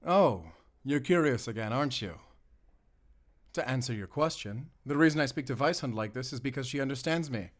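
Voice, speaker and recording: An actor playing a mysterious, protective and wry German-speaking werewolf hunter in an intimate audio roleplay, soft-spoken and teasing. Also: male voice, mid-range voice, lively intonation, a little fast, close to an everyday voice. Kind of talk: cinematic audio roleplay, intimate bedtime storytelling.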